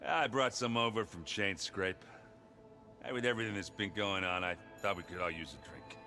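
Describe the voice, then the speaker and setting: Bright, forceful, deep-voiced joking rogue. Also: male voice, normal speed, soft background music. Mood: easygoing. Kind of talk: video game acting.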